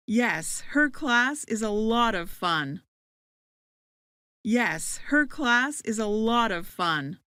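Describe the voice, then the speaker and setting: A woman playing a friendly new student in a slow scripted dialogue for English learners, saying each line twice. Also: on the high side, lively intonation, bright, forceful tone, smooth, dry recording, full character voice.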